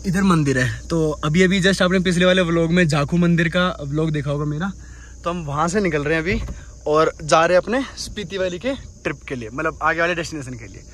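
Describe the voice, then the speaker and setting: A young man, chatty and excited, narrating a travel vlog while driving mountain roads. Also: on the high side, brisk. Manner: excited, chatty, calls viewers brother. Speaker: travel vlogger. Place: inside a car on mountain roads. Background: background music.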